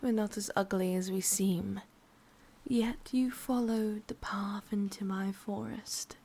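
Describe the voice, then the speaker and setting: A woman voicing a sadistic, possessive forest witch in an ASMR roleplay, with an English accent, half-whispering and teasing in drawn-out phrases. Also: medium pitch, steady tone, dark tone, husky. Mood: sly, gloating.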